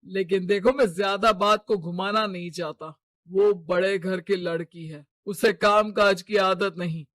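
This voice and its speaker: A man's voice: sits high, steady tone, measured pace, a bit bright, no room sound, studio quality. A man voicing a stern, status-proud middle-aged father in a cartoon moral story, domineering and scornful. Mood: firm.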